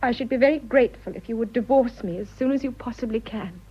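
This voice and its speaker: High-voiced, fraught young English wife. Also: female voice, quick pace, breathy edge. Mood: overwhelmed, pleading. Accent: upper-class English. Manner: earnest, emotionally fraught. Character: unhappy, conscience-stricken young upper-class English wife. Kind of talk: radio drama acting. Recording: muffled, dull radio drama recording.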